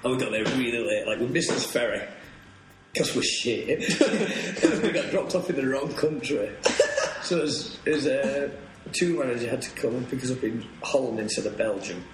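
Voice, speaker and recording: A man's voice: mid-range voice, expressive, moderate pace. A young man, a touring musician, rambling through tour stories on a podcast, laughing and swearing freely. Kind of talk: casual podcast storytelling. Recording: roomy echo, faint noise.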